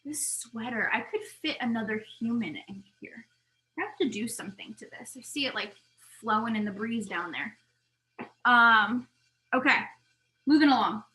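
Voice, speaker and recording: Woman vlogger, voice fading, rambly and chatty, bright tone. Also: on the high side, moderate pace, poor audio. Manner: rambling, talkative, animated asides. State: losing her voice. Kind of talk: casual chatty product review.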